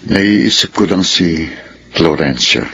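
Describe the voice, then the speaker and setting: An extremely deep Filipino voice as a middle-aged widowed father in radio drama. He is pensive, tender and solemn, with faint noise behind him. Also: animated, slow, a bit bright, highs cut off, exaggerated voice. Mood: wistful, pensive. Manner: solemn, reflective.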